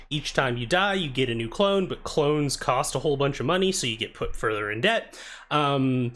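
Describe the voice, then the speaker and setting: A young man chatting casually on a live stream, greeting viewers by name and poking fun at himself, with a soft, soothing voice. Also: fairly high pitch. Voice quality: soft, told he sounds soothing. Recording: faint music behind.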